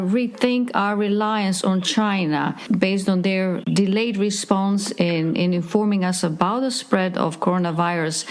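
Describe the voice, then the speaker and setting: Female co-host. Average pitch, formal interview questioning. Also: a little mellow. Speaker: radio show co-host, interviewer.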